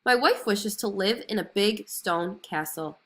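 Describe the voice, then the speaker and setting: A woman's voice: mid-range voice, unhurried, fairly bright tone, natural voice. A woman voicing a meek, anxious fisherman husband in a fairy tale, timid and hesitant. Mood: pleading call.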